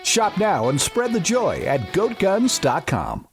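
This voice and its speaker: A man putting on a bright, sing-song announcer voice for an ad, pitched well up and swooping wildly from note to note. It sounds a little distant, with music playing underneath. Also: huge pitch swings, slow pace, heavily acted. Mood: salesy. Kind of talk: upbeat radio-style ad read.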